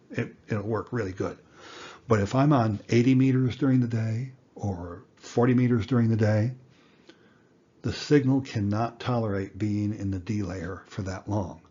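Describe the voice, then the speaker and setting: A man with a somewhat low, raspy voice teaches in an informal, explanatory way. He is deliberate and emphatic, posing rhetorical questions as he goes. The recording sounds a little dull and lo-fi. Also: warm tone. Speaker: instructor, amateur radio teacher.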